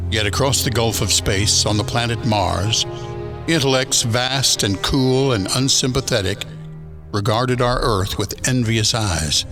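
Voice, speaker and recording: A man's voice: lowish voice, close to an everyday voice. A man playing a grave, formal narrator in a science fiction radio drama. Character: grave omniscient male narrator of an alien invasion tale.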